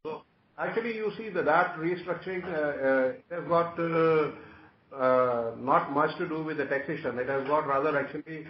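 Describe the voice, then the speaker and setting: A man with an Indian accent, a company executive explaining things haltingly on an earnings call. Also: on the high side. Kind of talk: answering analyst questions on an earnings call. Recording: muffled, echoey conference call with noise.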